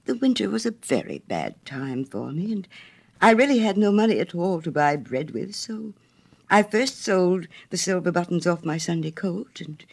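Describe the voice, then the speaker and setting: Woman acting little man, humble, guileless, eager to please, animated intonation, storybook character. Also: mid-range voice, gravelly, pitched above the performer's own voice. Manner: earnest, guileless, deferential. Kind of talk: dramatized storybook reading.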